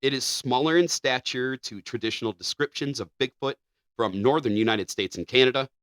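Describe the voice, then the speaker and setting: A man co-hosting a podcast, chatting casually and reading passages aloud in a measured, even delivery. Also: medium pitch, no echo, studio quality. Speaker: podcast co-host.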